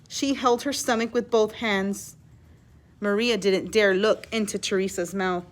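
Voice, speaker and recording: A woman with a fairly high voice reads a children's storybook aloud. She is expressive in her delivery and breaks off now and then to explain or ask her young listeners a question. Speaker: teacher reading aloud.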